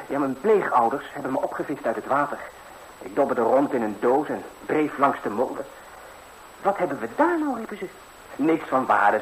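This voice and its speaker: An actor playing a naive, chatty young lad, a fairy-tale hero who rambles hesitantly and artlessly in a 1960s radio drama. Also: male voice, medium pitch, a bit bright. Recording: faintly noisy 1960s radio recording.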